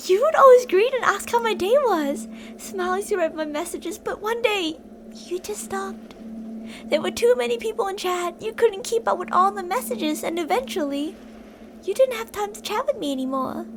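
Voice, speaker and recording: A woman's voice: extremely high pitch, lively intonation, moderate pace, noisy background. A woman playing an obsessive, possessive female fan turned kidnapper in an intimate, dramatic ASMR roleplay monologue. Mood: hurt, wistful. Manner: intimate, swinging between insistent pleading and soft whispery menace.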